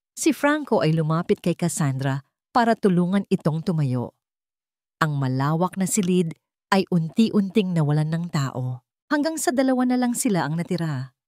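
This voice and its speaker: Female storyteller for dramatic fiction, slightly low voice, close dry recording. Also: a little mellow, no room sound. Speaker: storyteller, narrator. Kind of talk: dramatic scripted story narration.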